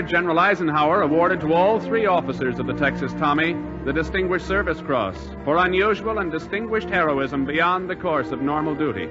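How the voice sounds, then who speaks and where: An American man announces in formal 1940s radio style, with a high-pitched voice. He reads scripted lines declaratively over prominent music, on a dull, narrow old broadcast recording.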